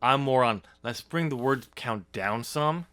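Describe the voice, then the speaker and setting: Halting man with a bright, forceful voice. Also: medium pitch, no room sound. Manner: halting, rambling, self-correcting with stammered restarts. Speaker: podcast host and writer. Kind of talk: casual unscripted discussion.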